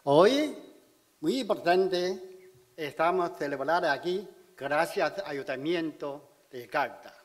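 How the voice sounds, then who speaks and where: A man in his sixties from China, speaking halting, simple Spanish with a Chinese accent in a public speech at a podium in a large auditorium. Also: fairly high pitch, animated. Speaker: community speaker at civic event. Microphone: podium microphone. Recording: hall reverb.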